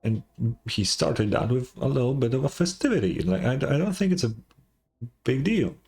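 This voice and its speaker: A man's voice: mid-range voice, sing-song, normal speed, gravelly, darkish tone. A man giving casual, informal, sweary reactions as he streams a game playthrough. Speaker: gaming streamer. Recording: game music behind the voice.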